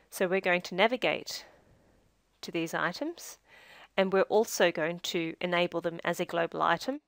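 A woman reading a scripted software tutorial as demo narrator, in a measured, instructional manner with phrase endings that trail down. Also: on the low side, no echo, clean studio sound.

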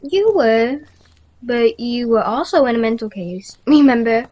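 Mouthy, taunting teen girl, female actor for audio drama, slightly high voice. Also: lively intonation, measured pace, darkish tone. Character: bratty, foul-mouthed, taunting teenage girl.

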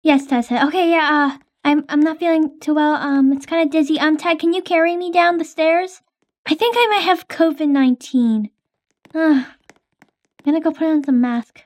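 A woman's voice: high voice, moderate pace. A young woman hosting and narrating a game roleplay in a casual, hesitant way with many ums.

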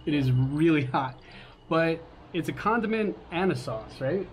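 Upbeat forties man, bit high-pitched, cooking vlog host on a porch; faint outdoor hum behind. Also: animated, measured pace. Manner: upbeat, laughs often. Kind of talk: casual chat.

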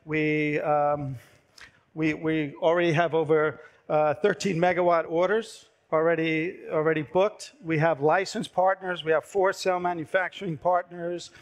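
A man in his fifties giving a brisk, persuasive startup pitch on a large conference stage through a headset mic, his voice going hoarse. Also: fairly even intonation. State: losing his voice.

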